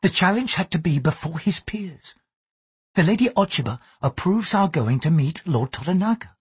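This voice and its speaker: A man's voice: fairly high pitch, expressive, moderate pace, husky, no room sound, voice pushed up. A man reading aloud, voicing a poised young Japanese noblewoman with a formal, archaic way of speaking. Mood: heated. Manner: formal, archaic thee-and-thou speech, apologetic "so sorry".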